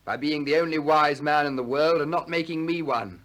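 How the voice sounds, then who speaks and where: An actor as an eager, higher-pitched young disciple. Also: male voice, steady tone, moderate pace, fairly bright tone, close to an everyday voice. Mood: eager, pleading. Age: young adult. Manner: eager, earnest. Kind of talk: 1960s British radio play acting.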